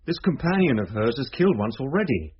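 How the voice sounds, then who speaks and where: A man playing a calm, shrewd police investigator in a radio drama, measured and matter-of-fact. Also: mid-range voice, breathy edge. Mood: grave, businesslike. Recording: dull radio recording, faint noise.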